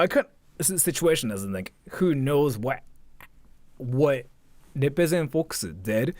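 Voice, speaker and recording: Very animated, slangy male streamer. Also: a little high, no echo. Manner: slangy, hesitant, repeats himself with frequent "like". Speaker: streamer reacting to a video. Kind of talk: casual live reaction commentary.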